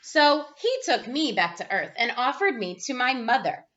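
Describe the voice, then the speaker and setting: Female storyteller for young children, high bright voice, dramatic delivery, slightly dull lo-fi recording. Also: very animated, moderate pace, slight background noise. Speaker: teacher reading a picture book aloud. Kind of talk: animated children's read-aloud.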